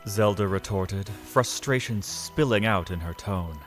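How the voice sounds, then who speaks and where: A man narrates a dramatic fantasy story in a low voice. He is solemn and measured, setting out phrases with deliberate pauses. Faint music runs underneath. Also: a bit bright.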